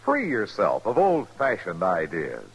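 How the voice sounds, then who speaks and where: A man's voice: low-pitched, wildly swooping pitch, a little slow, lo-fi sound. An American man reading a punchy, slogan-driven commercial in the style of a 1950s radio announcer. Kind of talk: 1950s radio cigarette ad read. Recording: loud music under vintage radio ad.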